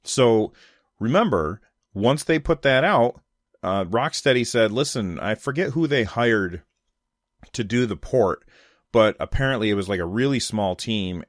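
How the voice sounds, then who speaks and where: A man with a fairly low voice speaks as a solo podcast host. His delivery is conversational and opinionated, leaning on key points for emphasis. The sound is dry and close, with very little room around the voice. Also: expressive, moderate pace, no echo. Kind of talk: casual opinion commentary on video games.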